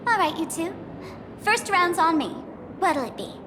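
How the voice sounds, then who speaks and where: High, lively, teasing trickster girl. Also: moderate pace, big-room sound, noisy background. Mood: breezy, in charge. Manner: theatrical, teasing. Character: playful, teasing young woman trickster hosting guests at a bar. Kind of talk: video game voice acting. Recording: background music behind the voice.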